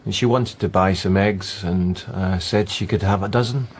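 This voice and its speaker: A man's voice: low-pitched, steady tone, moderate pace, slightly rough. An actor playing a prim, woman-hating male suspect in a radio drama, speaking formally and hesitantly. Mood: guarded, hesitant.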